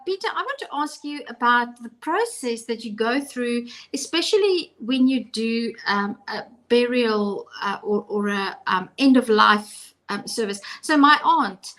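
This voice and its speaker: A woman's voice: on the high side, lively intonation, a little slow, sharp tone, rough recording. A woman of fifty co-hosting a live-streamed talk show, chatty and self-mocking, with many restarts as she asks her questions. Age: 50s. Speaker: co-host.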